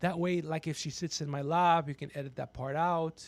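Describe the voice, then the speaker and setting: A man hosting a podcast livestream in casual banter, teasing, swearing and excitable in manner. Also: on the high side, normal speed. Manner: teasing, needling, profane. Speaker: podcast host.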